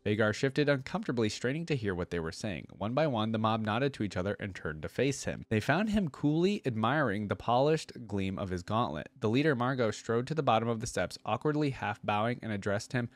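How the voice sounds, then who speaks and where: A young man with a fairly low voice reads a story aloud as a reaction host. He drops into casual commentary now and then. The sound is dry and close on the mic. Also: sing-song, fairly quick, hardly any pauses, dry recording. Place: indoor room at a desk. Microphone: close desk microphone on a boom arm.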